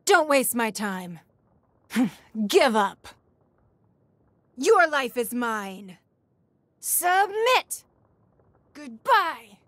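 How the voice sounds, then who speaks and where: A woman's voice: high voice, big pitch swings, very slow, pauses often, fairly bright tone, no room sound, full character voice. An actress playing a poised, shrewd and wealthy woman leader in a video game, with a composed, refined and authoritative manner. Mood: imperious, combative. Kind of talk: video game character voice lines.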